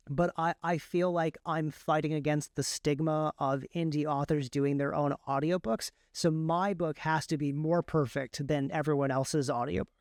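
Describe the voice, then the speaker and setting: Clear, higher-voiced man explaining his craft. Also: darkish tone, no room sound, studio quality. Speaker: guest, indie author and audiobook narrator. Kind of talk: casual explanatory interview talk.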